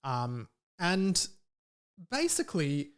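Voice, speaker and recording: Young Australian man, slightly high voice. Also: huge pitch swings, takes it slowly, clear, resonant tone. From Melbourne, Australia. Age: 20s. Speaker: podcast host. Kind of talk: casual solo podcast monologue.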